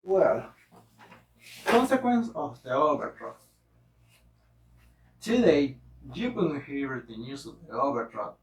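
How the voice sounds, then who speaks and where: Echoey, distant man reading a halting report. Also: medium pitch, very animated. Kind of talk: scripted presentation read aloud. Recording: echoey room, distant microphone.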